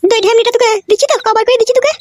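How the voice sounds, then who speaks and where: Extremely shrill, rapid nagging cartoon wife. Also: female voice, fairly even intonation, slight background noise. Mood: jealous nagging. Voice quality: shrill, squeaky. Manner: nagging, sarcastic bickering. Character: shrill, jealous, quarrelsome village wife. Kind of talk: comic cartoon voice acting.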